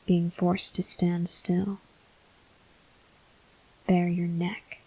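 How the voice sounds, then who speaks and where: A woman playing a brooding, guilt-ridden male vampire officer in an ASMR roleplay, whispering breathily in slow, halting, formal phrases. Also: lowish voice, flat delivery, darkish tone, breathy edge, exaggerated voice, poor audio. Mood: weary, grateful.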